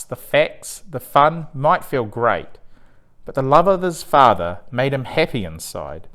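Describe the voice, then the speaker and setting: A male storyteller reads rhyming bedtime verse with an expressive, theatrical delivery. His pitch moves up and down in a lively way, and he takes his time over the lines at an unhurried pace. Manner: animated, deliberate, theatrical read-aloud delivery. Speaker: storyteller reading a picture book.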